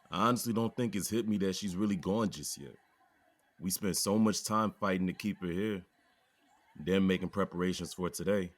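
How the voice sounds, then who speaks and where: An actor playing a widowed police chief speaking warmly and wearily at his wife's funeral. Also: low voice, no room sound, close to an everyday voice. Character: grieving widowed police chief, older man.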